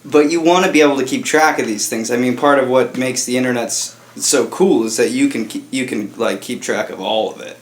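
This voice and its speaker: A man's voice: medium pitch, a little fast, hardly any pauses. Man hosting a podcast in an echoey room. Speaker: podcast host. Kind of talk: casual group podcast chat. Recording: roomy echo, faint music.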